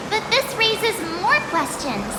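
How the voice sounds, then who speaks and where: A woman voicing a small, childlike, curious game companion who puzzles things out in deliberate phrases. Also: extremely high pitch, big pitch swings, heavy background noise, full character voice. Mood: puzzled. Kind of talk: video game voice acting. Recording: roomy echo under loud music.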